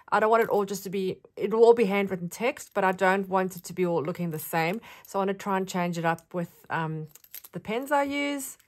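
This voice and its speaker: Friendly South African woman vlogger, chatty, mid-pitched, relaxed show-and-tell delivery. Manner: chatty, friendly, rambling with fillers. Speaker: craft vlogger.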